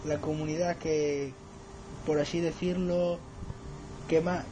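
A man's voice: a little high, flat delivery, slow, background noise. A man chatting casually as a radio operator, often repeating words for emphasis. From Extremadura, Spain.

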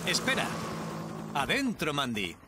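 An actor playing a capable, steady firefighter hero in a children's cartoon. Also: male voice, a little high, a little slow, heavy background noise. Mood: calm, decisive. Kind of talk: animated children's series dub. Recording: loud background music.

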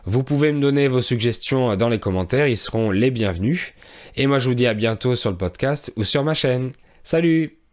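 A man in his thirties from France, a teacher and podcast host, telling a travel story for language learners in a clear, measured way with soft fillers, recorded close on a studio mic in a small room. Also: mid-range voice, animated. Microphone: studio microphone on a boom arm, close.

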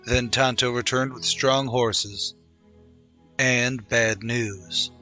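A man delivers dramatic scripted narration in the manner of old-time radio. His voice sits at a medium pitch and has a bright, forceful edge. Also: fairly even intonation, takes it slowly, soft background music.

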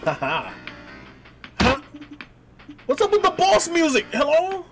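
A man giving excitable, slangy let's-play commentary, exclaiming often and reading game text aloud, with game music behind him. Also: extremely high pitch, slow pace. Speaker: gaming video host.

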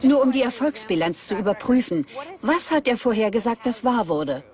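A woman's voice: a little high, sing-song, moderate pace, over music. A woman speaking conversationally as a scientist in a dubbed documentary.

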